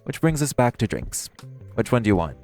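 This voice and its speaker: A man's voice: very animated, fairly quick, darkish tone, faint music behind. A man playing a teasing, flirtatious vampire in an intimate audio roleplay, smug yet protective. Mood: teasing, caring. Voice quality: low, gravelly voice.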